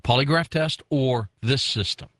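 A man's voice: medium pitch, normal speed, fairly bright tone, no room sound, clean studio sound. A man in his fifties hosting a radio talk show, asking his guest curious, chatty questions. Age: 50s. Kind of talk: radio interview.

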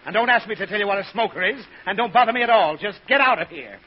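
High-pitched exasperated radio dad. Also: male voice, fast. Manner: groaning, sputtering, exasperated outbursts. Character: exasperated, hungover, short-tempered father. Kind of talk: 1940s radio comedy acting. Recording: muffled, noisy old radio show with audience.

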